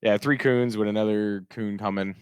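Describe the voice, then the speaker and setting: A man with a very deep, smooth, resonant voice talks over voice chat about his match. He speaks haltingly and pokes fun at himself. The sound is dry and close. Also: fairly even intonation, dry recording. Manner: self-deprecating, halting with restarts. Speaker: guest player. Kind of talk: casual post-match chat. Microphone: voice chat mic.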